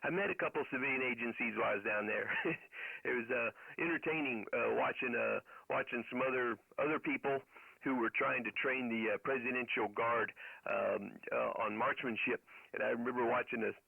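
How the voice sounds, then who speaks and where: A man's voice: medium pitch, fairly even intonation, fairly quick, vocal fry, husky, no echo. A middle-aged man telling stories casually over ham radio, in a rambling way with military jargon. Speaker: amateur radio operator. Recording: narrow phone-like radio sound.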